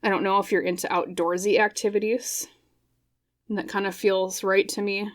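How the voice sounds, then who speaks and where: A woman's voice: medium pitch, little pitch movement, moderate pace. A woman giving an intuitive tarot reading into a microphone, her voice a little scratchy, rambling along and often checking in with "okay?". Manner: rambling and reflective, tag questions like "okay?". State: slightly hoarse, scratchy voice. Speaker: tarot reader.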